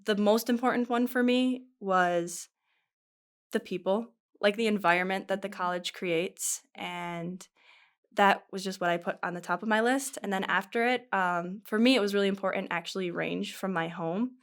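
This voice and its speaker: A woman's voice: mid-range voice, normal speed. A young woman from western New York, a nursing student, talking through her choices in a thoughtful, chatty way. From western New York, USA. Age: young adult.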